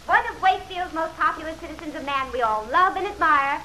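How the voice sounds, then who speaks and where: A woman's voice: high voice, fast, close to an everyday voice. An actress playing a gushing, fluttery society lady making formal announcements in an old-time radio drama. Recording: vintage radio recording with background noise.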